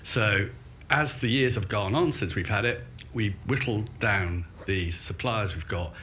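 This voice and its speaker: Loud, bright-voiced British man on a panel. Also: on the low side, measured pace, a little hiss or hum. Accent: British English. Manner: loud, emphatic, anecdotal. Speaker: trade show organiser on a panel. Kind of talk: panel discussion. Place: event venue in central London.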